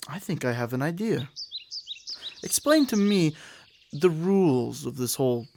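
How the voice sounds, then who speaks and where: A man's voice: on the high side, very animated, a little slow, gravelly, darkish tone, slight background noise, exaggerated voice. A man playing an awkward, easygoing teenage boy in an audio drama, casual and hesitant. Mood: puzzled.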